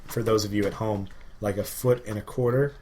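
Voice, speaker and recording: A man's voice: lowish voice, fairly even intonation, fairly quick, darkish tone, a little hiss or hum. A man chatting casually as a podcast co-host, joking and wandering into informal asides. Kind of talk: casual chat.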